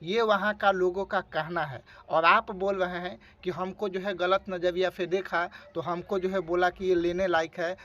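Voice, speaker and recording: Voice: medium pitch, fairly even intonation, normal speed, few pauses, a bit bright, muffled recording. An interviewer of unclear sex with Bhojpuri-influenced Hindi, pressing a caller with repetitive, accusatory questions. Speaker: interviewer for an online news channel.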